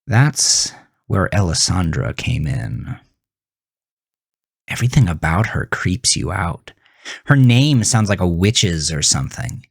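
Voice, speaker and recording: Low-voiced man narrating horror, unhurried. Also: big pitch swings, creaky, husky, dry recording, studio quality. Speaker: author reading his own horror story. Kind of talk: dramatic scripted horror narration, second person. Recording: music behind the voice. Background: music.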